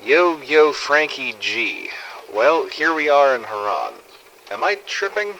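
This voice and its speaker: Cocky, clueless American tourist, bright. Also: male voice, mid-range voice, very animated, slow, lo-fi sound, a little hiss or hum, full character voice. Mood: cocky, excited. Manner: slangy, cocky. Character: brash, clueless, boastful American male tourist. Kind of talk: game audio log, letter read aloud.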